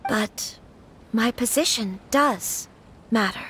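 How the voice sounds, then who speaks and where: A woman playing a gentle, anxious young noblewoman in a video game, speaking politely and hesitantly. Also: fairly high pitch, takes it slowly, slight background noise. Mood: doubtful, pensive. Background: background music.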